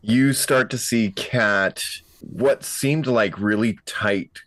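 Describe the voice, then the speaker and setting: Low, slow-talking man at a game table. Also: fairly bright tone, no echo. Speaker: player in a tabletop roleplaying game. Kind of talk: improvised descriptive roleplay narration.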